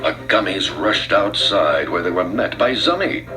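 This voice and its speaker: A man's voice: low-pitched, lively intonation, moderate pace. A man reading a scripted read-along children's story as narrator, with dramatic delivery. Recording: music under the voice. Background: music.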